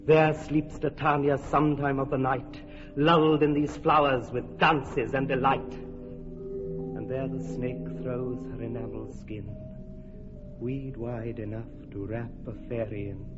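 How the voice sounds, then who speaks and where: An actor playing a commanding male fairy king in a classic radio drama, speaking verse grandly and dropping to a sly hush. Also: medium pitch, level tone, measured pace, close to an everyday voice. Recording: muffled old radio play with long reverb and music.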